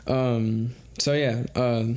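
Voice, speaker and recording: A man's voice: mid-range voice, steady tone, very slow, darkish tone, clean tone. A young man from South Texas, co-hosting a podcast in loose, rambling casual chat with casual swearing. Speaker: podcast co-host.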